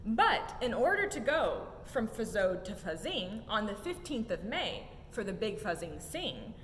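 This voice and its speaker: A young adult woman reads a rhyming children's picture book aloud in an expressive, sing-song way with emphatic swoops. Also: unhurried. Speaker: story time reader at a museum. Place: indoor museum exhibit room. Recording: echoey room with low rumbling noise.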